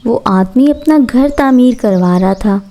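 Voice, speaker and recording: Mellow, high-voiced woman storyteller. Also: measured pace. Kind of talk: scripted bedtime story narration for children.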